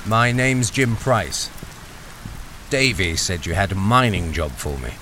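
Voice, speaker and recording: A man's voice: low-pitched, lively intonation, unhurried, sharp tone, exaggerated voice. A man playing a wary, hard-up army deserter who is the hero of a radio thriller. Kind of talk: radio thriller narration and dialogue. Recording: noisy, rain-soaked radio drama recording.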